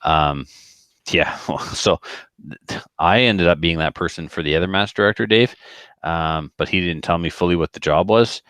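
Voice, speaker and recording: A man with a low voice talks casually on a podcast. He rambles in everyday language and likes to act out what people said. The recording is dry and close, with little room sound. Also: moderate pace, a bit bright, smooth, no echo. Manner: rambling, colloquial, with acted-out quotes. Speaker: podcast speaker who organizes shooting matches.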